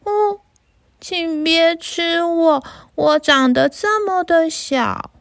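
A woman voicing a small, timid young goat pleading softly and slowly, in a storybook reading. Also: extremely high pitch, gravelly, pitched above the performer's own voice, full character voice. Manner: soft, pleading, slow.

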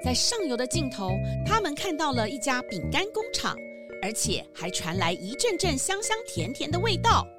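Woman narrator, high voice, lively melody, storybook style, loud kids' music underneath. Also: moderate pace. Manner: expressive and suspenseful. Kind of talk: scripted storybook narration for children.

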